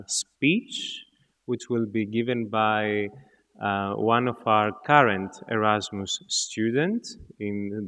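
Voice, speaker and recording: A man hosting a formal event, speaking in deliberate, halting phrases. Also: on the low side, fairly bright tone. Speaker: event host. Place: large indoor hall. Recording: echoey hall with long reverb.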